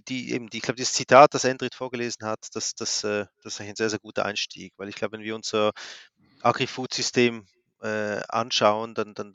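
A man's voice: lowish voice, creaky, fairly bright tone, no room sound, lo-fi sound. A man from Switzerland, a guest fund manager on an interview podcast, speaking with many fillers and restarts.

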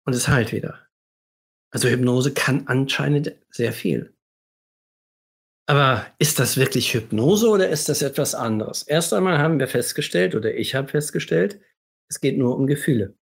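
A man with a husky, scratchy voice that sounds a little under the weather. His pitch sits in the middle of the male range. He explains things like a therapist hosting a livestream, leaning emphatically on key phrases and asking rhetorical questions. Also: expressive, moderate pace.

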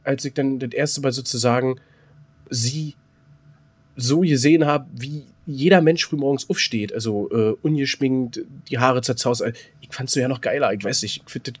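A man co-hosting a podcast, chatting casually in a colloquial, somewhat halting way. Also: medium pitch, husky. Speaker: podcast co-host. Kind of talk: casual chat.

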